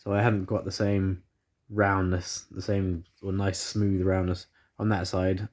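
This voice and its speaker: Man, home vlogger, congested, rambling delivery, low mellow tone, phone-recorded in living room. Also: level tone, unhurried. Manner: rambling, many fillers and restarts. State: congested after flu. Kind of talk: casual chat to camera. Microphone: phone camera.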